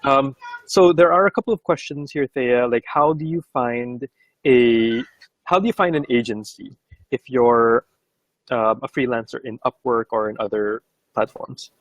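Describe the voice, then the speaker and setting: A man's voice: mid-range voice, a little slow, no echo, low-quality recording. A Filipino man whose first language is Bisaya, hosting a live interview in a casual, hesitant way. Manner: casual, hesitant, many fillers. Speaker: host.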